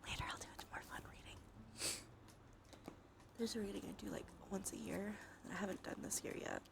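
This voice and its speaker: A woman livestream host speaking in a soft, breathy, often whispered voice, murmuring casually and unhurriedly to viewers in an ASMR tarot style. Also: medium pitch, wildly swooping pitch, a little fast. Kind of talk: ASMR tarot reading stream.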